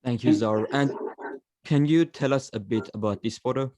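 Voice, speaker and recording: A man's voice: medium pitch, moderate pace, darkish tone, no room sound. A man moderating a discussion, politely putting questions to a guest. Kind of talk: polite interviewing.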